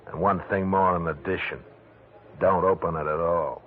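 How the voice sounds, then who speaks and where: An actor playing a menacing, mockingly polite ex-convict gangster with an American accent, in slangy 1940s radio acting. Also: male voice, sits low, steady tone, normal speed, natural voice. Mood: sly, warning. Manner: taunting, slangy tough-guy talk. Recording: tinny 1940s radio recording, faint noise.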